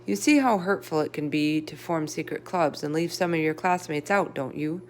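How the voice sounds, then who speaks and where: A woman's voice: deep voice, moderate pace, slight background noise, natural voice. Firm, caring lady schoolteacher, as a woman reads her. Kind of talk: children's storybook character voice.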